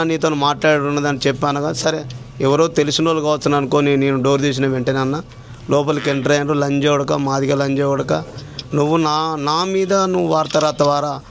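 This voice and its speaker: Gravelly, flat-toned Telangana man giving an account. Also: a little high, slight background noise. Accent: Telangana Telugu. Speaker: journalist recounting an assault on him. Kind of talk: earnest spoken account to a listener on the phone.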